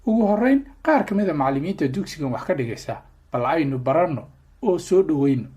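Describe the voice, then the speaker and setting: News reporter voiceover, husky and mellow, calm formal read. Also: mid-range voice. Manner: measured, formal. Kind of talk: scripted news narration.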